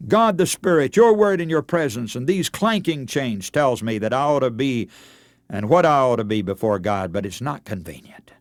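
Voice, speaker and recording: A man's voice: mid-range voice, lively intonation, no echo, clean studio sound, lightly acted. Man acting an agitated, guilt-stricken Roman governor. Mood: conflicted, dismissive. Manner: agitated, pleading, halting short exclamations. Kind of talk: acted quote within a radio sermon.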